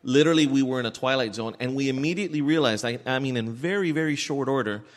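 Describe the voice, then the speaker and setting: A man's voice: medium pitch, quick pace. An American man co-hosting a podcast, talking in a casual, opinionated and emphatic way. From Miami area, United States. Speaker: podcast co-host. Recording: echoey room with long reverb.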